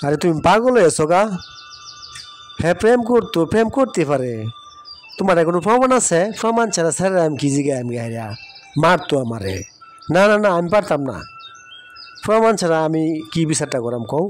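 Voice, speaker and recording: A man's voice: on the high side, animated, fairly quick, pauses often, natural voice. A man playing a stubborn, sceptical village uncle in a cartoon, with an eastern Bangladeshi accent and an argumentative, emphatic way of talking. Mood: exasperated. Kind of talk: cartoon voice acting. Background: music.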